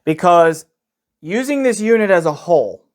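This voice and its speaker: Man, slightly high voice, gear reviewer, unscripted, explanatory, frequent "okay" check-ins. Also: lively intonation, moderate pace.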